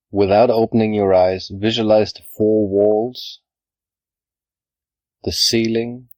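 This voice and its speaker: Deep-voiced man guiding a meditation. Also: dry recording, dull audio. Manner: calm, measured, instructional, with pauses. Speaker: yoga nidra guide. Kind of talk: guided meditation, scripted narration.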